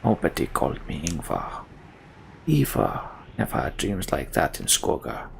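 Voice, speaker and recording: A man voicing a brooding, haunted giant warrior in a video game, grave, weary and subdued, with a gravelly voice. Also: very deep voice, big pitch swings, a little slow, slight background noise, voice pushed down, exaggerated voice.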